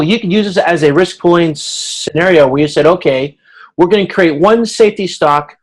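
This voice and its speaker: Halting man teaching an informal online tutorial. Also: on the high side, rough recording. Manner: halting, self-correcting, thinks aloud; checks that listeners follow. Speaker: instructor. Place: online video conference.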